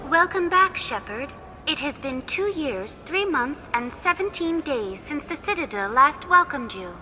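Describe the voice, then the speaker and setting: A woman's voice: high voice, lively intonation, a little slow, pushed and bright, slight background noise, full character voice. An actress voicing a polite female virtual guide in a video game, giving information in a formal, precise way. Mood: courteous. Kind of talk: scripted public announcement.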